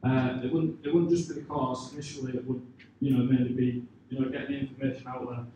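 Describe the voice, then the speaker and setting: A man answers audience questions about his business in an informal, hesitant way. His middling voice moves little in pitch. He is picked up from afar in a very echoey room with clear noise behind him. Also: little pitch movement, fast talker, recorded from a distance, dull audio. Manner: hesitant, informal, leans on "you know" and "sort of".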